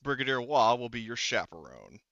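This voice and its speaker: Man playing a smooth, curt commanding warlord. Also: medium pitch, very animated, normal speed, a bit bright, no echo, highs cut off. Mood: stern. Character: curt, commanding male warlord giving orders.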